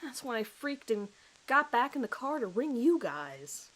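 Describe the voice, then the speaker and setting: A woman voicing a bewildered, frightened male suspect who speaks haltingly in an audio horror drama. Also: on the high side, big pitch swings, a bit bright, exaggerated voice, low-quality recording. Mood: bewildered, uneasy. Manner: halting, stammering. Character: panicked, bewildered male suspect pleading innocence under questioning.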